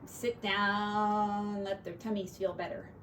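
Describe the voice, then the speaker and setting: A woman teacher reading a picture book to young children expressively, with gentle, simple asides, recorded on a device set back from her. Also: mid-range voice, little pitch movement, slow pace. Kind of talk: picture-book read-aloud with chatty asides. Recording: distant mic with faint background noise.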